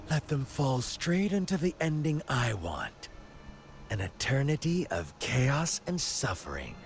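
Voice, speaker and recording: A slow, swooping, gleefully mad villain. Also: male voice, mid-range voice. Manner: drawling, playful, theatrical taunting. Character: male chaos-loving villain, gleefully mad, mocking, nihilistic. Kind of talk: dramatic video game voice acting.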